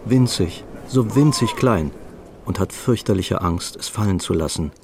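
A man's voice: low voice, expressive, measured pace, vocal fry, noisy background, heavily acted. A man reading scripted story narration for a German radio play.

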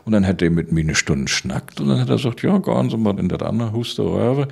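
An older man with a northern German, Low German accent, telling anecdotes as an interview guest and lively raconteur. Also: fast talker, creaky, husky. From northern Germany, Baltic coast. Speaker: interview guest, theatre writer and dramaturge.

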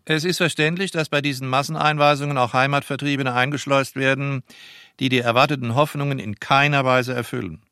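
An actor playing a stern, officious functionary reading out a formal bureaucratic report. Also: male voice, medium pitch, steady tone, fairly quick, bright, forceful tone, clean tone, no echo, studio quality. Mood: officious, disapproving.

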